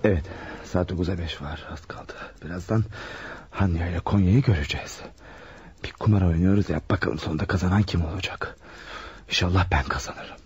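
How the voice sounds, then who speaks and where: Amateur detective role: dark, husky voice, cool and shrewd, muffled old radio drama sound. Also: male voice, low voice, brisk, full character voice. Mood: tense anticipation. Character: shrewd, self-assured man playing amateur detective. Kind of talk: Turkish radio drama acting.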